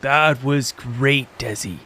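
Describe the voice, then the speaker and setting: A man's voice: medium pitch, takes it slowly, pushed and bright. A man playing a supportive young member of a news crew in an audio drama. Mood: upbeat. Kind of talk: audio drama character acting.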